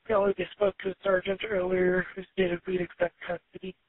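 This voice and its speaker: A woman's voice: lowish voice, completely flat delivery, fast, slightly rough, no echo. A woman working as a sheriff's dispatcher, speaking in a clipped, procedural way over radio and phone. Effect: radio and phone line. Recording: hissy, narrowband dispatch line recording.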